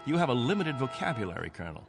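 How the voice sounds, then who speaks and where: An actor playing a stern, proud, controlling captain of stranded settlers in a radio drama, with a commanding, insistent manner. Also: male voice, mid-range voice, sing-song, rapid-fire, gravelly. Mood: troubled, disapproving. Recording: radio drama with music underneath.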